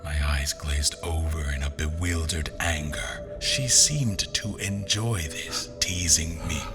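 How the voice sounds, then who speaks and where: An actor playing a brooding, suspicious adventurer, narrating his story in a measured, dramatic way. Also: very deep voice, loud music behind the voice, full character voice. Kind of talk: scripted first-person story narration.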